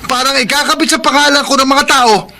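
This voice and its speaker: A man's voice: very high voice, a little fast, gravelly, bright, forceful tone, slight background noise, heavily acted. A young actor playing a brash young robber who mocks and defies his mother in a radio drama. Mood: defiant. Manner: brash, mocking. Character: cocky young male robber, defiant son.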